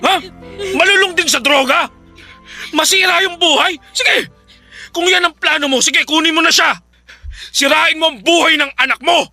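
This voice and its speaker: An actor playing an angry, exhausted, protective father in a Filipino radio drama, forceful and confrontational. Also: extremely high pitch, normal speed, long pauses, faint music behind, heavily acted. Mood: furious.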